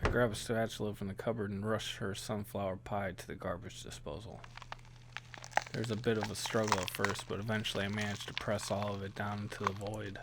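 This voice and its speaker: A man's voice: fairly low pitch, gravelly, noisy background. A man voicing a weary, resigned young son who narrates a horror game in the first person with dry wit. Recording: guitar music behind the voice.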